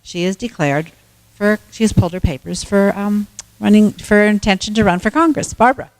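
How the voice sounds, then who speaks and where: A woman's voice: mid-range voice, a little fast, darkish tone, low-quality recording. A woman emceeing a club meeting, introducing speakers in a casual, hesitant way.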